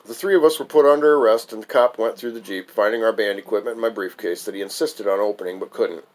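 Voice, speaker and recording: A man's voice: on the low side, fast talker. A man reading his own memoir aloud as a wry, amused storyteller. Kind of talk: memoir recitation, narrated reading.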